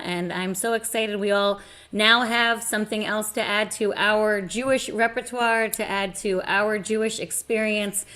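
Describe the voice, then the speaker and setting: A woman hosting the event, giving closing thanks in a gracious, measured and sincere way on a video call. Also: mid-range voice, fairly bright tone. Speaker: synagogue event host. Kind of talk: closing remarks.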